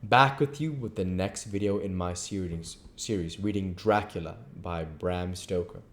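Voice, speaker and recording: A man reading a novel aloud at a measured pace, with occasional slips and restarts. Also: low voice. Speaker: audiobook reader.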